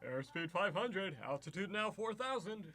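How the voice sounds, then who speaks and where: A man voices a nervous young navy lieutenant aboard a rocket ship in an old-style radio drama. His high, bright voice rattles off brisk readings. The recording is close and dry. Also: animated, no room sound, exaggerated voice. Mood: dutiful. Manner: brisk crisp reports, edgy.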